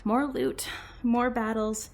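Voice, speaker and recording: Woman acting a slow-spoken, husky, earnest game master. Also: fairly high pitch, steady tone, darkish tone. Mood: subdued, resolute. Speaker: voice actor in an amateur audio drama. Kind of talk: audio drama acting.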